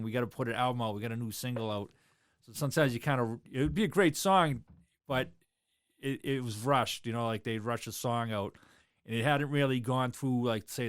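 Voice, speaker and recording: A man's voice: medium pitch, smooth, no echo, studio quality. A man, a drummer talking loosely in an interview, rambling with lots of fillers. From South Boston, USA. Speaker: drummer and guest. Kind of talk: casual interview chat.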